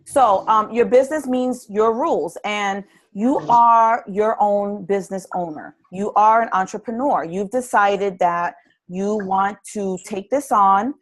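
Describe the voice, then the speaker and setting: A woman's voice: medium pitch, measured pace. A woman leading a live conference-call training for new fitness business coaches, talking earnestly and encouragingly with frequent ums and restarts.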